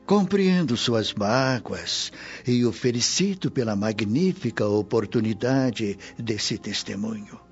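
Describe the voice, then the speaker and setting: An actor with a Brazilian accent playing a wise, kindly spirit mentor in a radio drama, solemn and gently preaching. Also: male voice, mid-range voice, expressive, slow. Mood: compassionate, solemn. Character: wise, kindly male spirit mentor consoling his pupil. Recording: muffled audio with music underneath.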